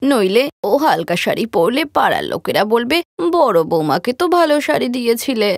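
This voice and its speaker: A woman's voice: fairly high pitch, wildly swooping pitch, moderate pace, vocal fry, no echo, studio quality, heavily acted. A woman voicing a scheming, boastful older mother-in-law in a cartoon story, bossy and blustering. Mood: coaxing, commanding.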